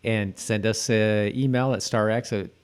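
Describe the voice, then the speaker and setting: A man's voice: fairly low pitch, fairly even intonation, measured pace, clean tone. A man co-hosting a podcast, chatting casually in a loose, somewhat hesitant conversational way. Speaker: podcast co-host. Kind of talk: casual chat about a TV episode.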